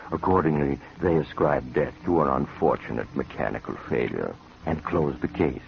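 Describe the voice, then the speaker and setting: Ominous, very deep, gravelly storyteller. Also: male voice, lively intonation, exaggerated voice. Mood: sombre, knowing. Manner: measured, sombre, formal. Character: ominous, knowing storyteller of macabre tales. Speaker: narrator and host. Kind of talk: 1950s radio mystery narration. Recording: dull, noisy vintage radio recording.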